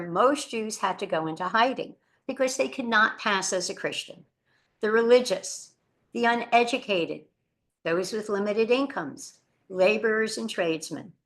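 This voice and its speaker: A woman Holocaust educator, giving an earnest lecture that tells rescue stories and drops quietly at somber moments. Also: mid-range voice. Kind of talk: lecture presentation.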